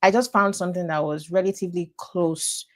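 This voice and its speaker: A woman's voice: on the low side, steady tone, brisk. A young woman with a Nigerian accent, a student guest on a podcast, speaking candidly with emphatic repeats. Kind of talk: casual podcast interview.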